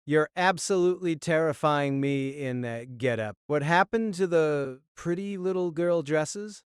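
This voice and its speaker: Smooth, cocky young charmer played by a man. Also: on the high side, measured pace, dry recording, studio quality, lightly acted. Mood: teasing. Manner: suggestive, teasing. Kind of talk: audio drama dialogue.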